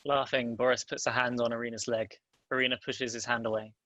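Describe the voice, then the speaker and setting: Bright-voiced man narrating a screenplay. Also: medium pitch, level tone, a little fast, no room sound. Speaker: narrator reading stage directions.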